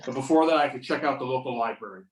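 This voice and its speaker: A man's voice: medium pitch, rapid-fire, a little hiss or hum, natural voice. A man playing a determined investigator in readers theater, matter-of-fact and commanding, over a remote call. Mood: insistent. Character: Beckett, determined male investigator giving orders. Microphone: remote videoconference or phone call. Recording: roomy, distant mic on conference call.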